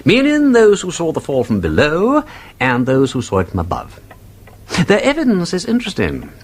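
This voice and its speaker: An actor playing a witty, inquisitive gentleman sleuth in a radio drama, with an upper-class English accent and an urbane, argumentative, theatrical manner. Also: on the high side, huge pitch swings, moderate pace, heavily acted. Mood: playful probing. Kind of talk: British radio drama acting.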